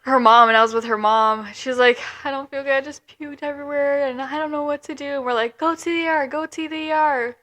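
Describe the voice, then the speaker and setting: A young woman co-hosting a podcast, chiming in with short remarks that back up her friend. Her voice sits high and sounds bright and clear. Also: fairly even intonation, fast talker, hardly any pauses. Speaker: podcast co-host. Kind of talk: casual chat.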